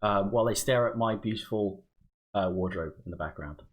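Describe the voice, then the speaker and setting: A man's voice: on the low side, brisk. A young man co-hosting a student radio livestream, chatting casually. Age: young adult.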